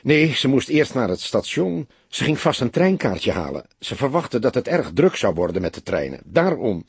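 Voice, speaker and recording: Very husky and dry, this is a bitter young mother as acted out by a male narrator in an audiobook. She sounds upset and defensive, with scorn for men and a lively, lifted intonation. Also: mid-range voice, normal speed, no echo, exaggerated voice. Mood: subdued, explaining. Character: young unmarried mother, bitter, upset, sober-mannered witness. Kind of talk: audiobook character dialogue.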